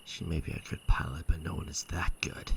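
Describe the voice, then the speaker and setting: Man playing a very deep, gravelly young mech warrior. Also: little pitch movement, fairly quick, slight background noise. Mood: grumbling. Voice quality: gravelly, hushed. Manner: earnest, grudging. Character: proud, sullen young male mech warrior captive. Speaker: voice actor in an audio roleplay. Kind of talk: ASMR sci-fi roleplay acting.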